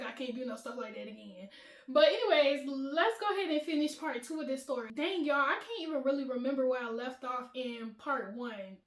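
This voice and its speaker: A woman hosting her channel, telling a storytime in casual chat with a high-pitched voice, animated and laughing often. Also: echoey room. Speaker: vlogger, channel host.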